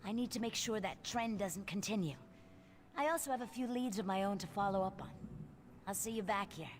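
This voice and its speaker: Earnest female relic collector acted by a woman for a fantasy game; mid-pitched, articulate, businesslike, faint noise behind. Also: brisk, close to an everyday voice. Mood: businesslike, wary. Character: purposeful female antiquities collector organising expeditions. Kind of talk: video game character dialogue.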